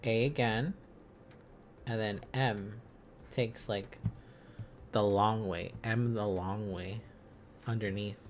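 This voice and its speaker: Slow, halting man thinking aloud. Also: on the low side, fairly even intonation, faint music behind. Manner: halting, musing aloud, repeating phrases and syllables. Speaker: streamer learning Korean script on a live stream. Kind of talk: casual think-aloud teaching, sounding out letters and words.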